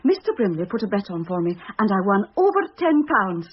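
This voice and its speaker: A woman's voice: medium pitch, big pitch swings, fairly quick, husky. An actress playing an airy, self-satisfied policeman's wife in a British radio drama. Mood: pleased, airy. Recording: muffled radio recording.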